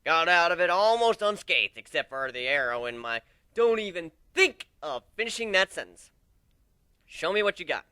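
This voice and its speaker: A man's voice: fairly high pitch, very animated, normal speed, bright, forceful tone, clear, resonant tone, dry recording, voice pushed up. A man voicing a brash, scheming griffin fighter in a dramatic story reading. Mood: cocky. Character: male griffin warrior, brash, cocky, scheming mercenary.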